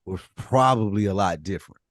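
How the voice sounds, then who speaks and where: A man's voice: lowish voice, fairly quick, dry recording, clean studio sound. A man hosting a casual podcast, slangy and egging on his co-hosts. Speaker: podcast host.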